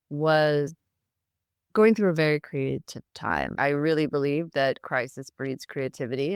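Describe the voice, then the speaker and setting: A middle-aged American woman from near Boston, a guest telling her story in a chatty, animated way with occasional laughs. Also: low-pitched, clean tone, dry recording, clean studio sound. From United States, Boston area. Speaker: guest, founder. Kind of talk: casual interview.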